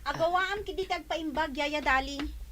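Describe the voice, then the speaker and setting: A woman playing a sulky, defiant young daughter in an Ilocano radio drama, with a sharp, emotional delivery. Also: high-pitched, normal speed, slight background noise. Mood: scornful.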